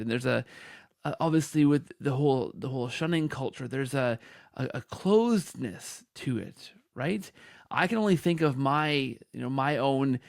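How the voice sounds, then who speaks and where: A man's voice: medium pitch, vocal fry, no room sound, studio quality. A man hosting a conversational interview, feeling his way through long questions with repeated words and restarts. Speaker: podcast host interviewer.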